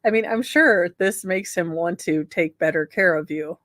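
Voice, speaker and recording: A woman's voice: on the low side, normal speed, fairly bright tone, dry recording, clean studio sound. A woman host doing a dramatic reading of letters, with sardonic, mocking asides.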